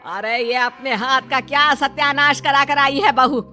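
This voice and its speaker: A woman voicing a bossy but caring mother-in-law in an animated moral story, nagging and sarcastic. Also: sits high, a little slow, faint music behind, full character voice. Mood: scolding.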